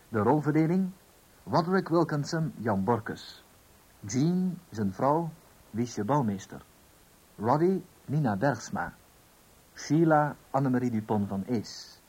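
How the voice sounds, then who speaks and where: Husky Dutch male radio announcer. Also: mid-range voice, a little mellow, poor audio. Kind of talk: formal broadcast credits announcement.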